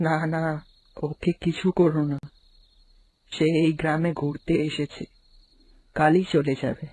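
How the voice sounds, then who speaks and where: A man's voice: on the high side, flat delivery, unhurried, darkish tone, no echo, rough recording. A man voicing a frail, fearful old village woman in a horror cartoon, who speaks in weary, pleading warnings.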